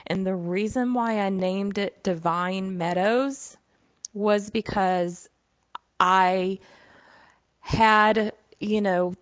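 A woman's voice: fairly low pitch, dry recording. A middle-aged woman with a Southern US accent hosting her own show as a psychic medium, talking in a casual, folksy way with lots of "you know.". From southern United States. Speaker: podcast host, psychic medium. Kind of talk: casual solo monologue. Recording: dull, dry, slightly lo-fi.

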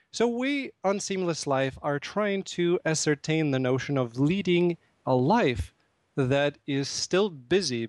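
A man's voice: a little high, no room sound. A man from Russia hosting a live radio talk show from a studio, chatting playfully with many fillers and laughing at himself.

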